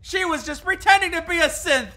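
A sarcastic man co-commenting on a game, firing off wisecracks. His voice sits very high for a man and rings bright. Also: fairly quick. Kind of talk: casual gaming commentary.

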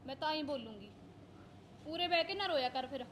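A woman's voice: sits high, animated, brisk, fairly bright tone. A young woman playing a quarrelsome daughter-in-law who scolds and argues. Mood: scolding, defiant. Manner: scolding, argumentative. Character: sharp-tongued young wife, quarrelsome, stingy.

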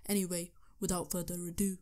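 A young man with a sore, hoarse throat, talking through a computer tutorial step by step, with the odd um. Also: high voice, little pitch movement, quick pace, dark tone. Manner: earnest step-by-step instructions with ums. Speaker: tech tutorial youtuber. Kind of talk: screen-recorded tutorial voice-over.

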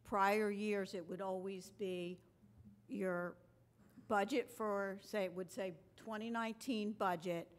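A woman's voice: mid-range voice, steady tone, slow pace. Female municipal finance officer, middle-range voice, patient explanatory meeting delivery. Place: council chamber.

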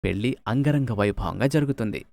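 A man's voice: mid-range voice, a little fast, gravelly, darkish tone, no echo. A man narrating a scripted moral story as a storyteller, recorded close on the mic. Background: music.

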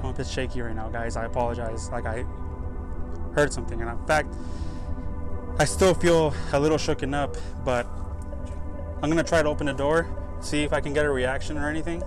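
A man's voice: mid-range voice, fairly even intonation, a little fast, long pauses, music in the background. A young man hosting a paranormal investigation vlog, talking casually outdoors. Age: young adult. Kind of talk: casual on-location vlog talk. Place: outdoors beside a lighthouse building.

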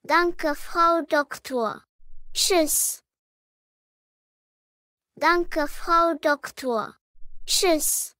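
A woman voicing a polite young girl patient, speaking slowly and clearly for a lesson. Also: very high-pitched, sharp tone, no echo, pitched above the performer's own voice, heavily acted. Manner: slow, clearly spoken lesson lines.

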